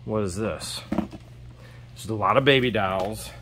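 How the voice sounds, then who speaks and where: A man's voice: fairly low pitch, takes it slowly. Joking American man, noisy recording. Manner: joking, talks in short asides. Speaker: vlog host, storage unit buyer. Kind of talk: casual chat while sorting through finds. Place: inside a metal storage unit. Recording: noisy handheld recording in storage unit.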